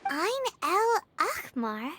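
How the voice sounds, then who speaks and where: An actress voicing a tiny childlike female fairy companion in a video game, squeaky, perky and inquisitive. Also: very animated, extremely slow, background noise, exaggerated voice. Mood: perky, earnest. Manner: squeaky, childlike, speaks of self in third person. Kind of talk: video game character dialogue.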